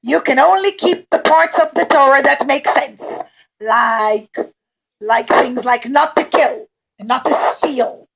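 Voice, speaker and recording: A woman voicing an imperious, jealous male king in a children's puppet show, declaiming loudly and slowly. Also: high voice, gravelly, exaggerated voice, rough recording. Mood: commanding. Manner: loud, slow, declaiming.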